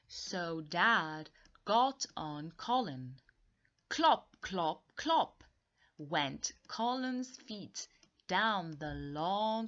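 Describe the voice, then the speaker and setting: A woman reading a children's story aloud in an expressive, deliberate way, repeating and explaining things simply for young listeners. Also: lowish voice, lo-fi sound.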